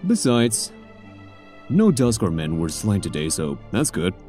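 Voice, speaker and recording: Guy doing deep mellow loyal bodyguard to royalty, stoic deadpan, formal, game parody. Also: measured pace. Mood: stoic, dutiful. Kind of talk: abridged game parody dub. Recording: background music under voice.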